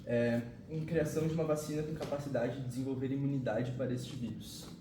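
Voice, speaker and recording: A man explains biology to his students in a loose, slangy way, swearing now and then and checking in with them often. His voice sits at an ordinary pitch and is picked up from a distance. The room is echoey and the recording is noisy. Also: fairly even intonation, brisk. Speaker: biology teacher. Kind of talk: casual classroom lesson. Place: classroom.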